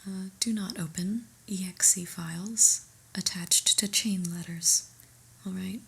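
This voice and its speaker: A woman's voice: mid-range voice, a little slow, creaky, breathy edge, exaggerated voice. Whispering, unimpressed office boss played by a woman. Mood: patient reproach. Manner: deadpan, hesitant, politely condescending. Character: exasperated, prim new female office boss, dryly disapproving. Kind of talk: whispered ASMR roleplay.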